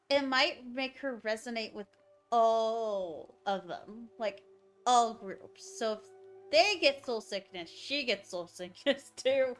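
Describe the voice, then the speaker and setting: A woman's voice: on the high side, a bit bright. A woman livestreaming a game, giving casual commentary and thinking aloud about the story in a musing way.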